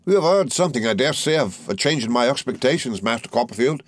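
A man's voice: mid-range voice, lively intonation, fast, no echo, pitched above the performer's own voice, natural voice. A man reading aloud and voicing a fawning, falsely humble young male clerk with a wheedling, obsequious manner.

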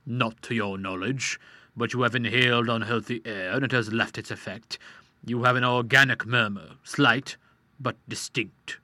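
A man's voice: mid-range voice, fairly even intonation, normal speed, bright, forceful tone, no echo. A man voicing a fat, greasy quack doctor who speaks fussily and tut-tuts with mock concern. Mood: fussy. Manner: fussy, tut-tutting and ominous.

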